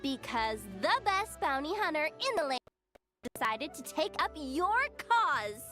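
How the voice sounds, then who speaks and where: A woman's voice: high-pitched, sing-song, measured pace, pushed and bright, faint music behind, heavily acted. A woman voicing a cocky, scheming young bounty hunter in a video game, boastful and theatrical. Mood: smug.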